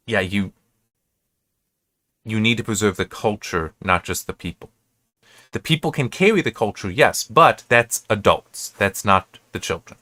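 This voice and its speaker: An American man giving casual, unscripted commentary as a reviewer, halting and correcting himself as he rambles. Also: fairly low pitch, bright, forceful tone, no room sound. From United States. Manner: halting, self-correcting, restarts and digresses often. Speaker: reviewer hosting an episode-by-episode analysis.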